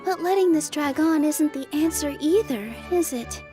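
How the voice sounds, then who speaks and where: A woman voicing a gentle, polite, thoughtful young woman in a video game, with a soft, inquisitive delivery. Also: high-pitched, normal speed, lightly acted. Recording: faint music behind.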